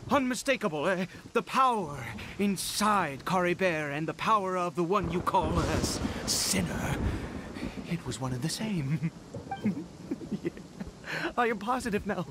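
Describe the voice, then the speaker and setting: Loud rain and thunder surround a fanatical, grieving nobleman from a fantasy video game. His pitch is high for a man and swoops theatrically, moving between hushed confession and exultant proclamation. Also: expressive, a little slow, background noise. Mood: fervent, triumphant. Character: grief-stricken, fanatical nobleman father devoted to a dark power. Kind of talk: dramatic video game voice acting.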